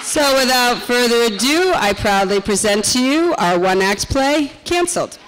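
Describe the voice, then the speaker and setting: A woman's voice: on the high side, lively intonation, normal speed, a bit bright, a faint crowd, slight background noise, low-quality recording. A middle-aged woman, a drama teacher, speaking live to an audience in a school auditorium to introduce a play. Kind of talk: live welcome speech to an audience.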